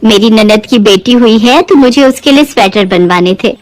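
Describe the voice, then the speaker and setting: A woman voicing a friendly, chatty city customer with a brisk, courteous manner in a Hindi moral-story cartoon. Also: a little high, natural voice, low-quality recording. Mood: friendly, requesting.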